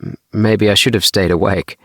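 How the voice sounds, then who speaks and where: A man's voice: lowish voice, steady tone, normal speed, fairly bright tone, dry recording, voice pushed up, lightly acted. A troubled young man travelling in secret, played by a male reader. He speaks in an earnest, reserved way, close and dry, as a character in a science fiction audiobook. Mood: guarded, explaining.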